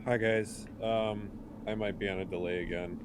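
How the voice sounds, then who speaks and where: A man's voice: lowish voice, fairly even intonation, moderate pace. A man chatting casually and a little hesitantly as a player on a tabletop roleplaying video call. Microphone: computer video call. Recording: noisy home call audio.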